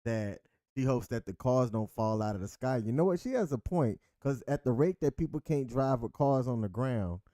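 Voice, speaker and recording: A man in his thirties co-hosting a relaxed couple's chat show, easygoing and quick to laugh at himself. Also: medium pitch, no room sound, clean studio sound. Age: 30s. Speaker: co-host.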